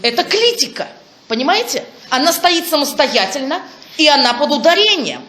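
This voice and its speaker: Woman presenter, higher register, enthusiastic academic delivery; echoey conference room. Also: very animated, normal speed, bright, forceful tone. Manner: enthusiastic, exclaims over findings. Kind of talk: academic talk with Q&A. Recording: echoey room, faint noise.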